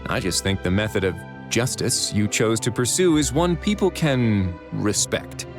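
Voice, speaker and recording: A man voicing a composed, dry-witted duke in a video game, measured and wry. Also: on the low side, lively intonation, music in the background. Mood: calm, appreciative.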